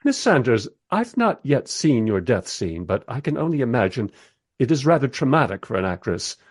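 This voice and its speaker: An actor in a radio play voicing a polite, dryly sceptical radio interviewer with a measured, understated manner. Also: male voice, medium pitch, big pitch swings, slightly rough, no room sound, studio quality. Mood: polite, doubtful.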